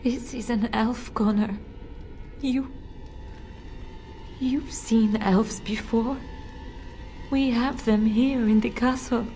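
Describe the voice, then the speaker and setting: A woman's voice: on the high side, fairly even intonation, mellow. A frightened noble mother. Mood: fearful. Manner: halting, pleading, fearful. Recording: game audio with faint music.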